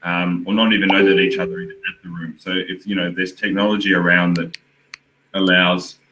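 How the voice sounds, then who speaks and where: A man answering as a panelist, measured and full of "you know" fillers. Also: sits low, little pitch movement, sharp tone, a little hiss or hum, poor audio.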